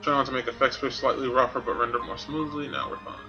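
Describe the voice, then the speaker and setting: A man's voice: medium pitch, fairly even intonation, quick pace, sharp tone, muffled recording. A man giving casual video game stream commentary, muttering to himself in an offhand way. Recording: game music behind the voice. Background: game music.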